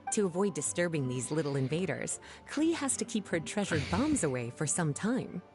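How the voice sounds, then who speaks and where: A poised, composed scholar. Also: female voice, sits low, big pitch swings. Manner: composed, measured explaining. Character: grown woman scholar, poised, sultry, unhurried. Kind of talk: video game dialogue. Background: game music.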